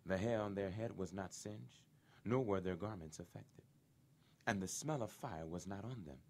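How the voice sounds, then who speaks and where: A man narrating a dramatized scripture reading in a measured, solemn manner. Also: sits low, a little mellow.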